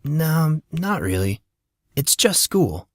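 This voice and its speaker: A man's voice: a little high, big pitch swings, slow pace, no echo, clean studio sound, voice pushed up, heavily acted. A man voicing a grumbling, defensive fourteen-year-old schoolboy in an audiobook, with a sulky, hesitant manner. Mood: downplaying.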